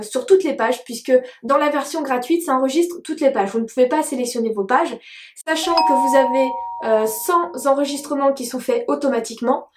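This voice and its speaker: A woman's voice: high voice, a little mellow, echoey room. A woman coaching online sellers, explaining a web tool in a chatty tutorial with frequent fillers. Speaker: e-commerce coach. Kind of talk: screen-share tutorial.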